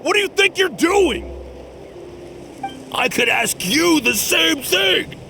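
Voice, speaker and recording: A man's voice: very high-pitched, expressive, slow, creaky, bright, forceful tone, slight background noise, full character voice. A man playing a hot-tempered, easily startled enemy guard in a video game. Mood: alarmed, angry.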